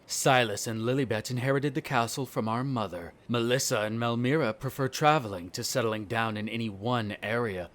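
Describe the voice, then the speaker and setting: A man's voice: lowish voice, a bit bright, close to an everyday voice. A man playing a smug, disdainful vampire noble in a scripted roleplay audio drama, speaking formally with sardonic condescension.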